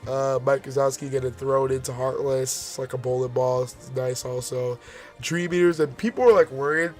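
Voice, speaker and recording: A man's voice: medium pitch, fairly even intonation, normal speed, faint music behind. A man losing his voice, forcing it out as he chats casually through gaming trailer commentary, rambling with many fillers and restarts. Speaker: gaming video host.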